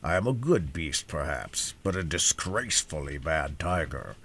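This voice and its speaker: A man's voice: very deep voice, sing-song, moderate pace, a bit bright, slightly rough, pitched below the performer's own voice, full character voice. A man voicing a huge, hungry tiger in a storybook reading, grave and rueful about his own nature. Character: huge hungry male tiger, gentle and conscientious.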